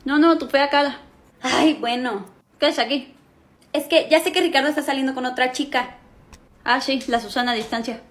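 High-voiced young woman acting a sketch. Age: young adult. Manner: lively, quick character switches. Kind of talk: comedy sketch playing several characters. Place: small indoor room at home.

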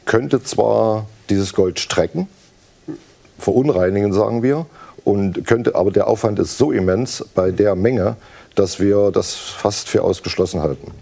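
A man explains things as an expert interviewee. His voice is fairly low and dark, with a gravelly creak. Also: unhurried. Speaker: precious metals expert.

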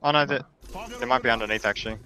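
A man's voice: medium pitch, fairly even intonation, very fast, sharp tone. A man playing in an esports team, giving short, repeated callouts in casual in-game chat. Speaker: esports player.

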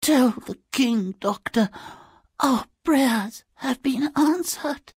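Breathy, very slow-speaking queen. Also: sits high, no echo, studio quality, heavily acted. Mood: tender, straining. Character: gentle, melodramatic fairy-tale queen mother. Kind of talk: video game character acting.

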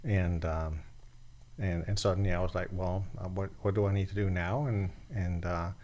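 A man in his forties from Denmark, a podcast guest telling his story in a relaxed way with many fillers, recorded on a desk mic in a small studio. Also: low voice, moderate pace. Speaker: podcast guest, e-commerce seller. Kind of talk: casual interview chat. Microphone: desk mic on boom arm.

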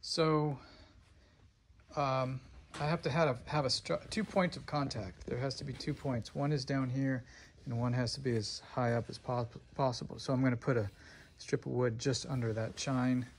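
A man's voice: mid-range voice, steady tone, normal speed, slight background noise. A middle-aged man casually narrating his boat build in a woodworking shop, thinking aloud with plenty of fillers, recorded on a handheld phone. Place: large indoor woodworking shop.

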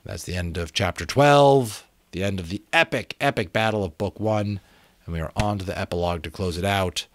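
A man in his thirties or forties giving an expressive, emphatic dramatic reading of an adventure novel. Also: low-pitched, brisk. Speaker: audiobook reader and channel host. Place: small room at home with bookshelves. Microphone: close desk microphone.

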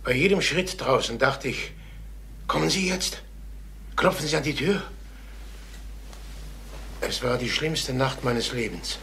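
An actor playing a nervous, defensive older travelling salesman who confesses, in a halting, brooding radio crime drama manner. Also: medium pitch, expressive, sharp tone, slight background noise. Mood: brooding, haunted.

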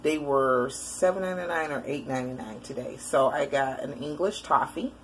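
Woman, 40s, low voice, rambly and chatty, home webcam vlog, faint hiss behind. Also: moderate pace. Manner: chatty, rambling, lots of fillers. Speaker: vlogger. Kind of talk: casual grocery haul chat. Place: indoor room at home, shelves behind.

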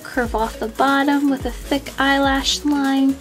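High-voiced woman teaching a drawing. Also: steady tone, unhurried, soft background music. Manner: friendly, easygoing explaining. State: slightly sniffly from a cold. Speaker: art tutorial host. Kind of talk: step-by-step drawing tutorial.

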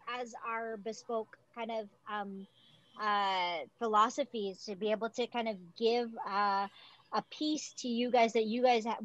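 A woman theatre director talking casually on a video call from home, animated and full of fillers, laughing often. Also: fairly high pitch, no room sound, highs cut off. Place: home room, indoors. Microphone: laptop webcam on video call.

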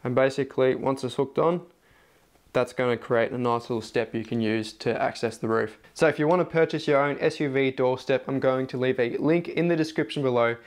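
A man's voice: medium pitch, a little fast. A man, chatting casually to camera about his car roof rack setup. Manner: relaxed, chatty, plain-spoken. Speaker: off-road vlogger. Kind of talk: casual product talk to camera. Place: outdoors in a backyard, then a plain indoor room.

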